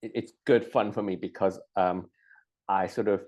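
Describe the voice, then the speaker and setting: A middle-aged man, born in Hong Kong, chatting casually, hesitant with lots of ums and restarts and quick to laugh. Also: sits low, fairly even intonation, darkish tone. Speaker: co-host. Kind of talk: casual chat.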